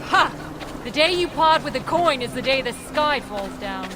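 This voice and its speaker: A woman playing a brisk, stubborn, sharp-tongued dwarf rebel in a video game, speaking bluntly and confidently. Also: high voice, expressive, noisy background. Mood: teasing. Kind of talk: conversational video game acting.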